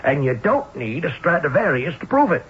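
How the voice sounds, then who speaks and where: An actor playing an urbane, self-assured professor detective in an old-time radio mystery, showy, precise and dismissive. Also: male voice, on the high side, sing-song, moderate pace. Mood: showy, confident. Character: urbane, theatrical, self-assured male professor-sleuth who plays violin. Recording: dull, noisy vintage radio broadcast.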